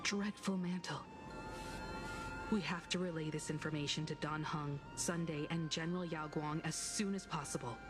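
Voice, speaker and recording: A woman's voice: low-pitched, steady tone, moderate pace, over loud music, natural voice. A woman voicing a mature, composed, caring expedition leader in a video game, speaking articulately. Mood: grave, determined.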